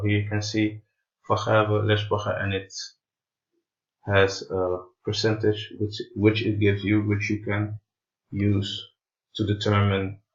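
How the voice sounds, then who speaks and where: A man, a native Portuguese speaker with non-native English, giving an informal explainer in a halting, hesitant way, searching for words. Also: lowish voice, creaky, dull audio. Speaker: presenter of an explainer video.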